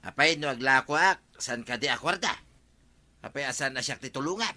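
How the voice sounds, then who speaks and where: An actor with an Ilocano accent playing a plain-spoken, grumbling older village farmer in a radio comedy drama. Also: male voice, medium pitch, expressive, fairly quick, pushed and bright.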